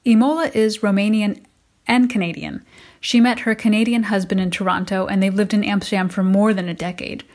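A woman's voice: medium pitch, little pitch movement, brisk, darkish tone. A middle-aged woman with an American accent, narrating a personal podcast as its host from a prepared script. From United States.

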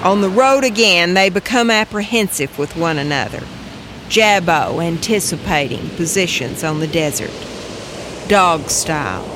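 A narrator reading a scripted spoken-word story in terse, measured phrases. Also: medium pitch. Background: music under the voice.

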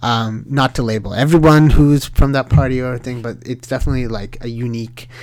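A young man from Sri Lanka tells a story in easy conversation. He talks in a lively, rambling way, full of fillers and restarts, and his voice sits at an ordinary middle pitch. The sound is dry and close, with almost no room echo. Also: a little fast. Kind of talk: casual storytelling.

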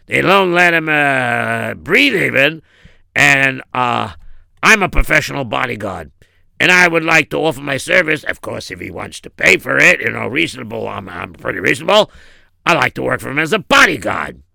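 Guy putting on a big tough bodyguard, plain-spoken and earnest, punchy forceful voice, comic story. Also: medium pitch, sing-song, normal speed, creaky, no echo, heavily acted. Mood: blunt, earnest. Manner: blunt, hesitant, plain-spoken. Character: Arnie, muscular male professional bodyguard, blunt and earnest.

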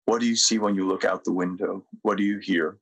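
A middle-aged American man speaking reflectively as a podcast guest, measured and deliberate, with pauses. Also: low-pitched, fairly even intonation, breathy edge. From United States. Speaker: podcast guest, sustainability consultant. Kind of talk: reflective interview talk and guided presentation.

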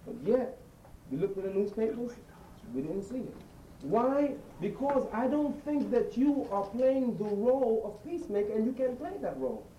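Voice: mid-range voice, big pitch swings, normal speed, dark tone, lo-fi sound. A person making an earnest, emphatic public appeal as a speaker at a press conference. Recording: roomy, distant mic, faint noise.

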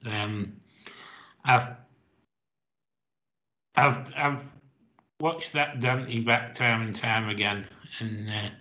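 A man's voice: mid-range voice, fairly even intonation, very slow, long pauses, pushed and bright, dull audio. A man on a racing panel, giving short, blunt answers. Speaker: panel tipster. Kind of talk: casual panel chat.

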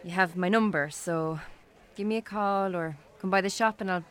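An actress playing a curious, good-humoured Irish bookshop woman in a radio drama, conversational and gently playful. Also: fairly low pitch, moderate pace, close to an everyday voice. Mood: friendly, playful. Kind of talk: naturalistic radio drama acting.

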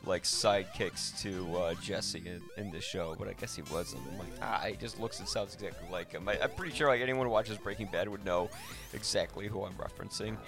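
A man's voice: sits low, fairly quick, a bit bright, soft background music. A man hosting a podcast, talking casually about films in a rambling, thinking-aloud way. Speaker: podcast host. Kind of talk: casual film discussion.

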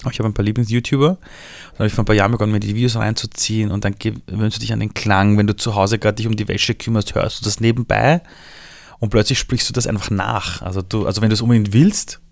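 A man from Iran with a Viennese accent, telling his story in a relaxed interview with lively, animated delivery. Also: fairly low pitch, fast talker, gravelly, no room sound. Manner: lively storytelling, shifts voice for quotes.

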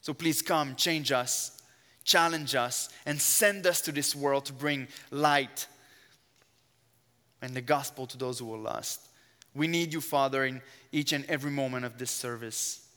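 A man's voice: medium pitch, a little slow, pushed and bright. A man with a strong French accent, whose first language is French, preaching a sermon in a church and praying fervently with earnest emphasis. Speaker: guest preacher. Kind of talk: sermon with opening prayer. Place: church sanctuary. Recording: long hall reverb of a church.

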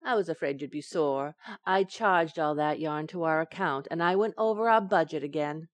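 Radio sitcom wife: woman actor, coy wisecracker, lowish voice, close dry sound, teasing delivery. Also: moderate pace, no echo, natural voice. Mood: evasive, wry. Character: wisecracking, coy, affectionate young housewife. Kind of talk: old-time radio sitcom acting.

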